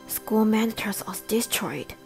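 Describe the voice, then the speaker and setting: Steady female police detective, woman acting, music behind. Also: medium pitch, unhurried. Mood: serious. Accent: Taiwanese. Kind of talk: student English radio drama. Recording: voice over clear background music.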